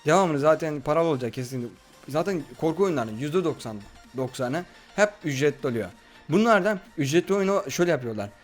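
Guy doing lets play chat, kinda high voice, bossing his friend around, bit of music in back. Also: expressive, fast. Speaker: gaming video host. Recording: music behind the voice. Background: background music.

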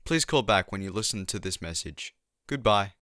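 Casting: formal, concerned scientist male actor, lowish, sharp voice, stiff and measured, dry studio sound for radio drama. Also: animated, no echo. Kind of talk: radio play acting.

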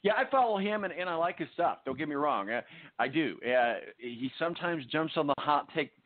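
A man hosting a sports radio show, talking casually in a halting, chatty way. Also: a little high, animated, no echo. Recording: phone-like, narrow-band dry line.

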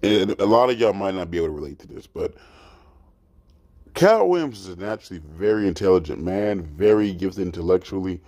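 A young man with a deep voice hosting a reaction podcast, talking in an animated, profane, rambling way from a small dark room. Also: brisk, no room sound. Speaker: reaction host. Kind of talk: casual reaction commentary.

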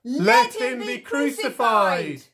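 A woman playing a hostile member of a mob in an amateur Bible drama, calling out in drawn-out cries. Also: sits high, huge pitch swings, slightly rough, exaggerated voice. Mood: vengeful. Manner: drawn-out declamatory shouts. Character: hostile crowd member demanding an execution.

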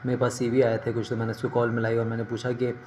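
A man's voice: medium pitch, completely flat delivery, quick pace, a little mellow, lo-fi sound. Middle-aged man, noisy background. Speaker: career advice vlogger. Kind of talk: informal advice to camera. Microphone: phone at arm's length. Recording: noticeable ambient background noise.

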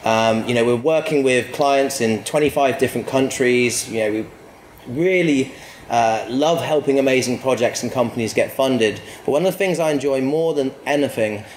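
Middle-aged male founder, informal stage talk, medium pitch, roomy sound with faint noise. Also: normal speed. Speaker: company founder and CEO presenting. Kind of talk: informal public presentation. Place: stage at an indoor event venue. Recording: echoey live venue, faint noise.

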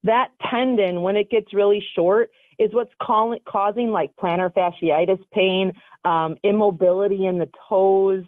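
A woman guest on a radio show giving casual, energetic advice, talking over a phone line. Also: medium pitch, moderate pace, no echo. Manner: energetic, chatty, self-correcting. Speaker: radio show guest, foot health coach. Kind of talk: casual explanatory radio talk.

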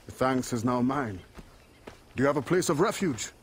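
A man's voice: medium pitch, expressive, normal speed, a little hiss or hum, natural voice. A man playing a stern, formerly enslaved assassin and sea captain in a video game, speaking in a grave, formal way. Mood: earnest, calm. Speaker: video game character actor. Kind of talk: dramatic cinematic game acting.

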